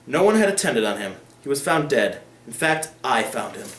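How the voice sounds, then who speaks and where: A young man reading a calm, secretive old lawyer, in an echoey room. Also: medium pitch. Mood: measured. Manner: measured, undisturbed calm.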